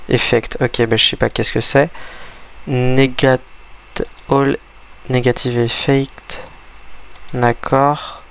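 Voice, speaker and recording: A man with a gravelly, fry-heavy voice talks through a game he is playing, congested from a cold. He rambles and stumbles over his words, restarting sentences as he goes, while keyboard typing clicks away behind him. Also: mid-range voice, fairly bright tone, rough recording. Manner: rambling, hesitant, many restarts. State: stuffy from a cold. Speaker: gaming video creator. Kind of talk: casual gameplay commentary.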